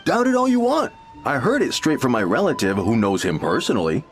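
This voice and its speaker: A man's voice: medium pitch, big pitch swings, a little fast, over music. An actor playing a smug, confiding male client in a game who passes on gossip with confidence. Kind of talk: game voice acting.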